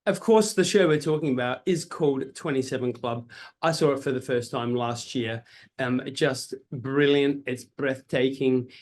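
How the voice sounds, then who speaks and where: A man's voice: mid-range voice, moderate pace, darkish tone. An Australian man hosting an interview, casual and enthusiastic, full of hype for the show. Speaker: radio host. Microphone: video call.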